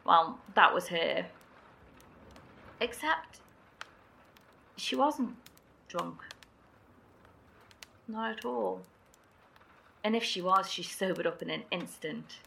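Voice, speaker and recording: Woman survivor in horror drama, slow, gravelly, bright tone, hesitant storytelling. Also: fairly low pitch, expressive, slight background noise, exaggerated voice. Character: woman survivor recounting eerie night. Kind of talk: audio drama acting.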